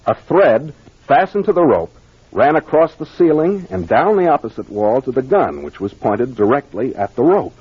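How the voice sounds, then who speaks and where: An American man playing a confident detective captain in a 1940s radio mystery, explaining things deliberately and with authority. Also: mid-range voice, sing-song, breathy edge. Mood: assured, explanatory. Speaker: actor playing the investigator. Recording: muffled old radio recording.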